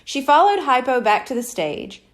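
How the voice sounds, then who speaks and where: A woman narrating a children's storybook aloud as an expressive scripted reading. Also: sits high, normal speed. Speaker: audiobook reader.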